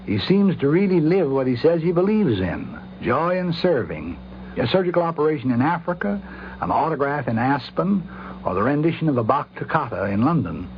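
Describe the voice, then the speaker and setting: A man's voice: a little high, big pitch swings, normal speed. A man giving a formal spoken recollection for radio. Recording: muffled, noisy 1950s radio recording.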